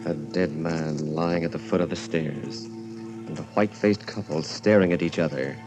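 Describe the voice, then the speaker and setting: An actor as the theatrical, ominous announcer narrating an old-time radio horror show. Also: male voice, extremely low pitch, fairly even intonation, normal speed. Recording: muffled old radio, organ music behind.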